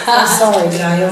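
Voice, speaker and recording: Chatty woman in echoey room. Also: medium pitch. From Serbia. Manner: chatty, laughing, apologetic, with many fillers. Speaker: singer answering press. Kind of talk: press Q&A chat. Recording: echoey noisy room, far from mic.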